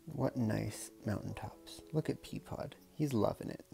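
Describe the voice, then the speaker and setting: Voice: mid-range voice, measured pace, gravelly, dark tone, soft background music. A storyteller reading a picture book aloud in a casual, playful way, with chatty murmured asides.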